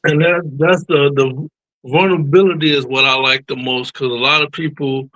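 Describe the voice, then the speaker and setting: A man's voice: on the high side, fairly even intonation, moderate pace, sharp tone, dry recording, poor audio. A man co-hosting a casual video call chat, earnest and supportive, with informal speech. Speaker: co-host.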